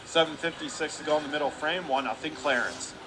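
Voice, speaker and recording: A man calling live hockey play-by-play on radio, his calls climbing into shouts when the play heats up. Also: fairly high pitch. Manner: rapid calls rising to shouts on big plays. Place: hockey arena broadcast booth. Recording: noisy arena background.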